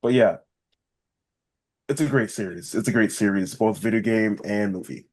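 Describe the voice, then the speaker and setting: A man's voice: lowish voice, normal speed, darkish tone. A man chatting casually as a podcast co-host, with informal, repetitive phrasing. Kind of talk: casual chat.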